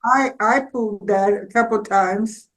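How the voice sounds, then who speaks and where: A woman's voice: mid-range voice, fairly even intonation, a little slow. An older woman talking casually in a group conversation as a community theater participant.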